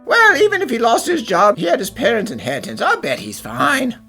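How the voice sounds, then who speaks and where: Flippant, gossipy factory workman, voiced by a woman; gravelly edge, very swoopy delivery, offhand fan-dub acting. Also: fairly high pitch, wildly swooping pitch, a little fast, sharp tone, faint music behind, heavily acted.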